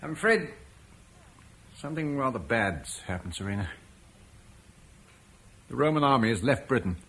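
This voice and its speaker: A man's voice: medium pitch, animated, normal speed, fairly bright tone, breathy edge. A grave Roman-British father. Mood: gentle. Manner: earnest, weighty speech. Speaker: actor in radio drama. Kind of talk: 1950s British radio play acting.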